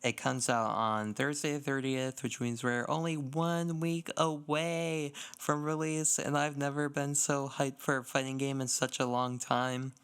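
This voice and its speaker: A young man with a clean, smooth voice at a middle pitch. He talks casually as a gaming podcast co-host, enthusiastic and slangy, with a self-mocking streak. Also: little pitch movement, unhurried, hardly any pauses, a bit bright.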